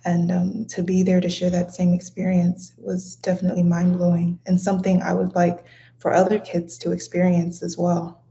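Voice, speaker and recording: Woman, low mellow voice, earnest and fond, dull lo-fi sound. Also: completely flat delivery, normal speed, gravelly. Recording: dull lo-fi audio.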